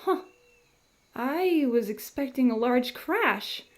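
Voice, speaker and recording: A woman's voice: sits high, expressive, takes it slowly. A woman playing a meek but wry teenage servant girl in a visual novel, dry and self-effacing. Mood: flustered.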